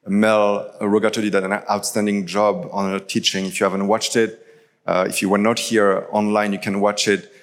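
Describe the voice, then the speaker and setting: A man's voice: fairly low pitch, level tone, normal speed. A man in his thirties, originally from France, with a French accent, preaching a chatty live sermon full of fillers. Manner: casual, chatty storytelling with many fillers. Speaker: pastor. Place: large church hall. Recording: live church recording with long hall reverb.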